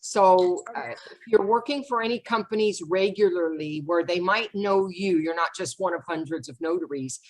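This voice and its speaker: A woman's voice: on the low side, moderate pace, hardly any pauses, low-quality recording. A woman hosting a notary question-and-answer session over a video call, friendly and encouraging. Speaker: host of a notary Q&A webinar. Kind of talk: casual moderating on a video call.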